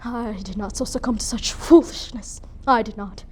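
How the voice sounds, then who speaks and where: A woman's voice: on the high side, normal speed, warm tone, a little hiss or hum, lightly acted. A woman performing a dramatic monologue as a grieving, bitter, proud young noblewoman mother, with an emotional theatrical delivery. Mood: proud, defensive. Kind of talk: dramatic monologue for speech competition.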